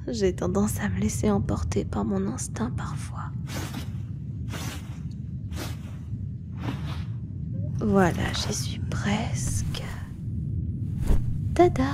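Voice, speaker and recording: A woman playing a tender, teasing, possessive lamia nurse in a whispered ASMR roleplay, speaking softly and soothingly. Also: medium pitch. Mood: tender, caring. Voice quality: hushed, mostly whispered. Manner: soft, slow, soothing, coaxing. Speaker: voice performer in roleplay audio.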